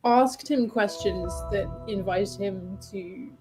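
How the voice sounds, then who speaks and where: Mellow-voiced woman, blunt game commentary. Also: medium pitch, takes it slowly, faint music behind, poor audio. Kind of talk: casual playthrough commentary.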